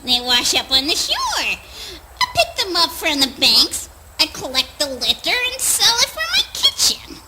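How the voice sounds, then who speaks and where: An actress playing a sly, scornful old crone in a spoken word play, with a taunting, theatrical manner. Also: female voice, high-pitched, wildly swooping pitch, measured pace, background noise, full character voice. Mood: amused, defensive.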